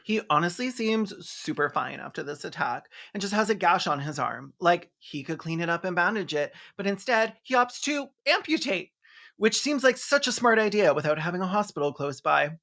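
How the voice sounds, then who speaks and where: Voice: medium pitch, big pitch swings, moderate pace, gravelly, fairly bright tone. A video host delivering sarcastic scripted commentary in a theatrical, mocking manner. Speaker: host of a comedic film review.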